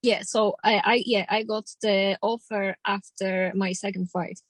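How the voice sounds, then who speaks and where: A woman in her thirties with a Polish accent, speaking English as a second language. She talks as a boxer in an interview, plain and determined, at a middle pitch. The sound is close and dry, with no room echo. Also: steady tone, unhurried. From Poland. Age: 30s. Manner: plainspoken, determined, non-native grammar. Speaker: professional boxer. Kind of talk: casual interview.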